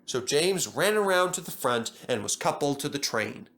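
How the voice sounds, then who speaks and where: A man narrating a children's story from a script in an expressive, dramatic storytelling manner. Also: fairly high pitch, moderate pace. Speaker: storybook reader.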